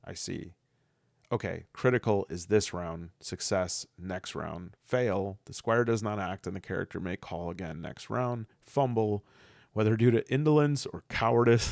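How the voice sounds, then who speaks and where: Gravelly low-voiced man, sniffly, musing aloud. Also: dry recording, studio quality. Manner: thinking aloud, halting restarts, earnest. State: sniffly, getting a cold. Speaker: solo tabletop game player and podcaster. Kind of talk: casual rules review, reading aloud and commenting.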